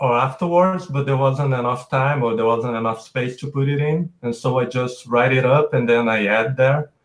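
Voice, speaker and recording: Brazilian guest, Portuguese native, chatty explainer, echoey room sound. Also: male voice, mid-range voice. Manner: explains at length with restarts. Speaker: podcast guest. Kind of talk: casual chat. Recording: roomy echo.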